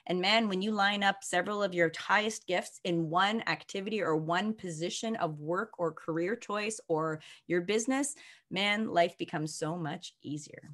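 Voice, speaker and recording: A woman's voice: on the low side, normal speed, a bit bright. A woman coach talking casually and enthusiastically, with a motivational tone and easy laughter. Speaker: coach and guest presenter. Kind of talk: casual conversational chat. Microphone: video call.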